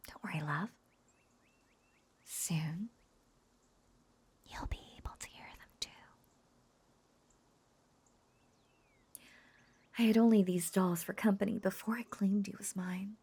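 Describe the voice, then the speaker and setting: A woman voicing an obsessive, possessive witch in an intimate roleplay audio drama, cooing and coaxing in soft, breathy half-whispers. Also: medium pitch, normal speed, long pauses. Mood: possessive, lovesick. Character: lovesick yandere witch, obsessive, possessive, sweetly unhinged.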